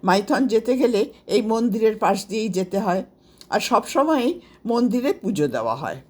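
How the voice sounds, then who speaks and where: A woman reading a scripted first-person story aloud as its storyteller, with an expressive delivery. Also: medium pitch, normal speed. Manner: expressive, acts out quoted dialogue.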